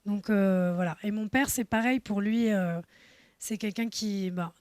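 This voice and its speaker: Woman director in her 30s, reflective panel guest, dry close mic, frequent pauses. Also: medium pitch, a little mellow, dry recording. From France. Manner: thoughtful, hesitant with many fillers. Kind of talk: panel discussion. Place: festival panel.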